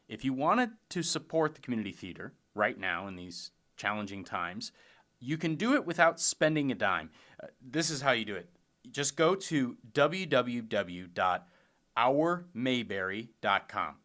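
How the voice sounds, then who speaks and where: A man's voice: mid-range voice, fairly bright tone. A man making an informal, conversational promotional appeal as a theater staff member. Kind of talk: casual promotional announcement.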